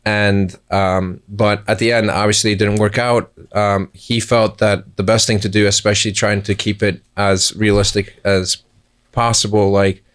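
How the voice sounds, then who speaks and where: A man's voice: fairly low pitch, little pitch movement, moderate pace, clear, resonant tone. A man who worked as a camera assistant on a film, answering interview questions in a rambling way and often correcting himself. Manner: rambling, self-correcting explanations. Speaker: film crew member, first camera assistant.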